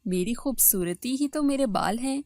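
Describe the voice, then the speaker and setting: Lady doing a vain young second wife, self-satisfied, high voice, close dry sound, storybook drama. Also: animated, normal speed, darkish tone, no echo, close to an everyday voice. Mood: smug. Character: vain, pretty young second wife proud of her hair.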